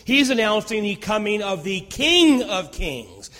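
A man preaching a sermon as pastor, with a naturally loud voice that swings from fervent emphasis to hushed near-whispers. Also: high voice, big pitch swings, a little slow, in a large hall.

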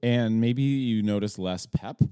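A man hosting a podcast in a casual morning chat, groggy and halting, laughing often and restarting his phrases. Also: lowish voice, mellow, clear, resonant tone, dry recording. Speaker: podcast host.

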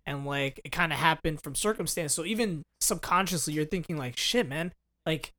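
Co-host role: young American man, fairly high voice, blunt, slangy, loose conversational delivery that circles back on itself. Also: fairly quick, pushed and bright. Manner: slangy, blunt, repeats and rephrases himself. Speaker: podcast co-host. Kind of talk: casual chat.

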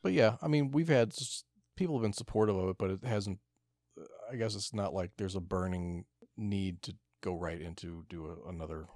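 A man's voice: deep voice, normal speed, a little mellow, dry recording, studio quality. A man co-hosting a podcast, chatting casually in a laid-back manner. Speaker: podcast co-host.

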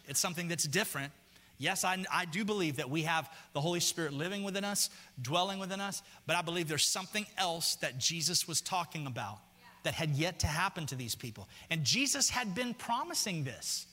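A male pastor preaching a sermon in a church, chatting casually with the congregation, reading scripture slowly, and climbing higher and louder as he gets worked up. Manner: reads scripture slowly and deliberately. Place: church sanctuary. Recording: long hall reverb.

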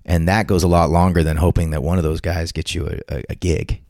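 A man's voice: low-pitched, level tone, fairly quick, a little mellow, no echo, studio quality. A man, telling a personal story in a casual chat with lots of restarts. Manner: storytelling, frequent restarts and acted-out quotes. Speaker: podcast guest.

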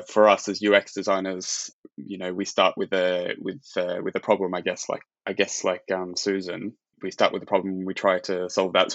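A man's voice: low-pitched, a bit bright, no echo, dull audio. A man from Australia, a UX designer talking as a guest in a casual interview, thinking aloud with many restarts and fillers.

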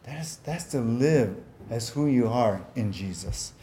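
A man's voice: mid-range voice, lively intonation, measured pace, warm tone. A man preaching a sermon as pastor, earnest and conversational, often repeating words and using fillers, whose first language was Japanese.

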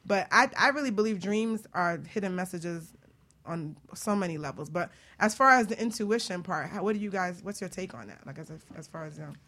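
A woman hosting a talk show, chatting in a casual, informal and animated way. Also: fairly low pitch, fairly quick.

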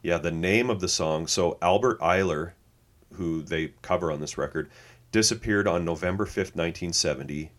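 A man co-hosting a podcast, chatting casually, reading notes aloud and laughing easily. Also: low voice. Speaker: podcast co-host.

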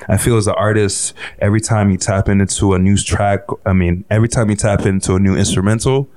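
Deep-voiced Haitian-born man, rambling. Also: steady tone, a little fast, darkish tone, no room sound. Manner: laid-back, rambling, swears freely, many fillers. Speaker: guest musician. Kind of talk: casual storytelling interview.

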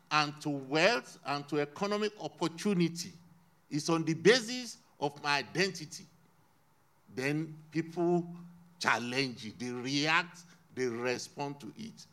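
Man for a panel talk, deliberate delivery, emphatic rises on key points. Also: a little high, bright, forceful tone. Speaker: panel speaker. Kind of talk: panel discussion. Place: lives in Sweden.

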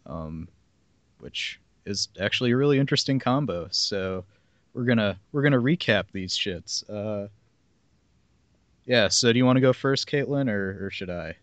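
A man's voice: on the low side, fairly bright tone, dry recording. A man hosting a podcast, chatting in an informal, agreeable, slightly rambling way. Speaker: podcast host. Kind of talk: casual chat.

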